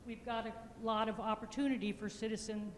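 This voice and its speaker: Woman planning consultant, loud and halting with fillers, in an echoey public meeting room. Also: on the high side, flat delivery. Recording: echoey meeting hall with faint noise.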